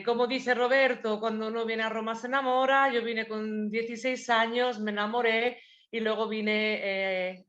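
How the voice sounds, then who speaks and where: A woman's voice: mid-range voice, a bit bright, highs cut off. Spanish woman guide on a video call. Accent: Spanish (Spain). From Spain. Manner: hesitant, frequent fillers, explanatory. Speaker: tour guide. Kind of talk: webinar presentation.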